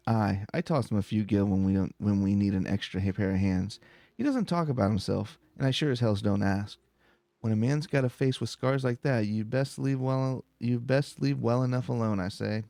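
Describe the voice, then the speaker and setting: A man voicing a gruff, plain-spoken villager who minds his own business, reading video game dialogue in character. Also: lowish voice, normal speed, dark tone, dry recording. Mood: wary, matter-of-fact.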